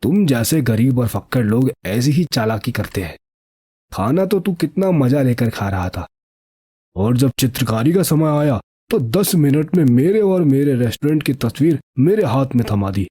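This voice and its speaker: A man voicing a gruff, money-minded restaurant owner in an animated story, scornful, dismissive and scolding. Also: medium pitch, normal speed. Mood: angry, insulting.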